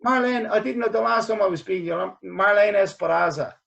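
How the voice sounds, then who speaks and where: A man hosts a casual podcast interview. His voice is fairly high for a man, and he talks earnestly, often stumbling and restarting his sentences. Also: a little fast, gravelly, fairly bright tone, dull audio. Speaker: podcast host.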